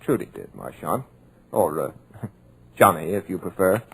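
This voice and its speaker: A tough-talking, wisecracking writer with a husky, lowish voice. He talks in a dry, hard-boiled manner. The sound is that of an old radio play recording. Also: very animated, moderate pace, full character voice. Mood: wry. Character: wry, trapped male screenwriter, tough-talking. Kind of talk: 1950s radio drama acting.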